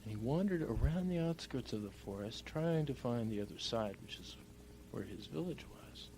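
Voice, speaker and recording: A man with a gravelly voice telling an improvised bedtime story in halting, drawn-out phrases. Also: medium pitch, animated, mellow. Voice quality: gravelly, creaky. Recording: noisy home recording with hum.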